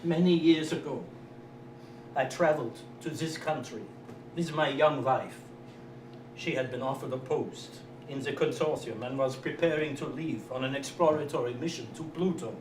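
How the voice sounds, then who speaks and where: An actor playing a grieving, fanatical scientist in a melodramatic, declamatory way at a staged sci-fi reading. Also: male voice, mid-range voice, moderate pace, close to an everyday voice. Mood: mournful. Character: grieving, fanatical immigrant male scientist hating alien zompires. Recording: distant, echoey live room with clear noise.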